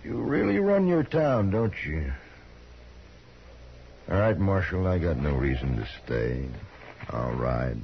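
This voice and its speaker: A sneering, defiant western troublemaker with an American accent. The low voice slides widely up and down as it taunts. It comes through a dull old radio recording with faint noise. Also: male voice, sing-song, slow, gravelly, long pauses, heavily acted. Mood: taunting, defiant. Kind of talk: 1950s radio western acting.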